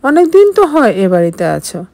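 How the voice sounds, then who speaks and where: A woman's voice: on the high side, very animated, moderate pace, mellow, no room sound. A woman voicing a cold but pleading husband in an audio story, earnest and insistent. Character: married man, cold yet pleading husband.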